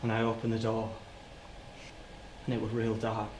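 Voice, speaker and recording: An actor playing an anguished, guilt-ridden working-class man in a halting, plain-spoken theatrical monologue. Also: a little high, close to an everyday voice. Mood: hushed dread. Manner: halting, plain-spoken, repeats and stumbles over words. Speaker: stage actor. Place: indoor soundstage. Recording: roomy, noisy soundstage livestream.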